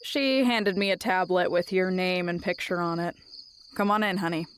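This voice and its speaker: A woman's voice: on the low side, normal speed, a little hiss or hum, lightly acted. A woman playing a sassy, laid-back nonbinary human greeter in a vampire audio drama, teasing and breezily patronising. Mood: teasing, breezy.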